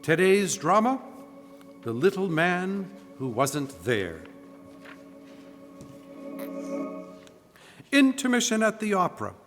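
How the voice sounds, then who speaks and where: A man plays a polished 1940s-style radio announcer. The scripted delivery swings widely in pitch, in a slightly high voice. It resounds in a big live hall. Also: big pitch swings, measured pace, over music. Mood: dramatic narration. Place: church, live stage performance.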